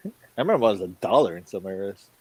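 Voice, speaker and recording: A middle-aged man with a fairly low voice talks casually among friends. He speaks informally and throws in animated exclamations. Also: racing, slightly rough, no room sound. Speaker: podcast co-host. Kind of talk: casual chat.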